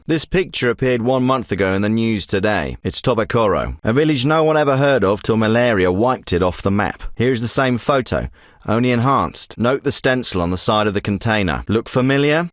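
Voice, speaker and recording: A man voicing a commanding special forces officer in a war game, who speaks in terse radio calls with a dry wit. His pitch moves a lot as he talks. The studio sound is close and dry. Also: no echo, close to an everyday voice. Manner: clipped military radio talk. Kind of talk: video game voice acting.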